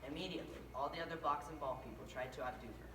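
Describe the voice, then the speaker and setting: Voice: medium pitch, very fast. A narrator reading scripted story lines aloud in a readers theater performance. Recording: echoey room, distant mic, faint noise.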